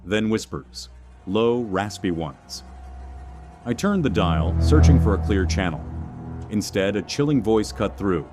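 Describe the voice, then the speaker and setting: A man narrating a scripted first-person horror story in a measured, suspenseful manner. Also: on the low side, animated, over music.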